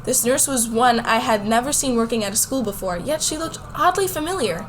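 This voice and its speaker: High-voiced high school girl narrator, voiced by a woman. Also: lively intonation, fairly quick, lightly acted, poor audio. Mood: narrating. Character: bold, competitive high school girl telling her story. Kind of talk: scripted first-person radio drama narration.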